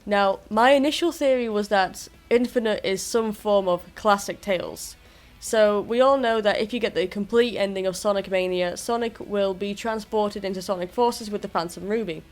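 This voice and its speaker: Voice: medium pitch, moderate pace. A YouTuber presenting fan theories in a casual, self-deprecating commentary addressed to viewers. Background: music.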